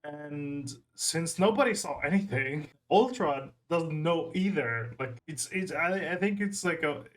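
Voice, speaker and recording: A man hosting a reaction video with casual, excitable game commentary, often repeating himself mid-thought. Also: on the high side, unhurried.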